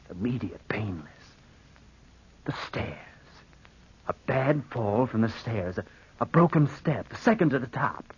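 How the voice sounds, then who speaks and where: An actor playing a spendthrift young nephew in a vintage radio drama, melodramatic and self-pitying, with a scheming streak. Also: male voice, lowish voice, breathy edge, muffled recording, heavily acted. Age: young adult. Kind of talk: 1940s radio acting with first-person narration.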